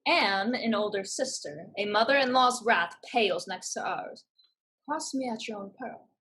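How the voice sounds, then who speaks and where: A woman's voice: mid-range voice, moderate pace, fairly bright tone, breathy edge. A woman playing a fierce, protective older sister, direct and firm, in a staged play reading. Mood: protective, stern.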